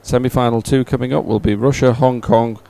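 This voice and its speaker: A man's voice: medium pitch, steady tone, a little fast, gravelly, mellow. A man hosting a live sports stream in an informal, somewhat hesitant way. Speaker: livestream host.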